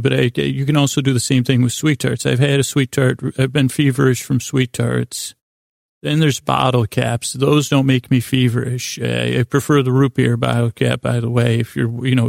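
Male podcast host, American, mid-pitched creaky voice, close dry sound; whimsical, halting bedtime rambling. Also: darkish tone, no echo. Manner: meandering, halting, frequent self-interruptions and tangents; self-deprecating, whimsical. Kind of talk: rambling sleep podcast monologue.

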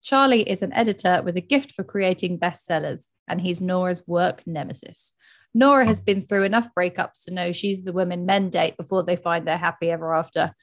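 Lively woman co-host, casual chat, summarizes plots. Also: fairly low pitch, brisk. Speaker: podcast co-host.

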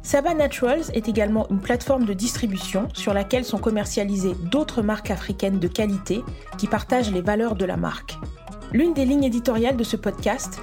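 Woman hosting over music. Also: on the high side. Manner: measured, reads a prepared intro. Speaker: podcast host. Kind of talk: scripted introduction and interview. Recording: music bed under the voice.

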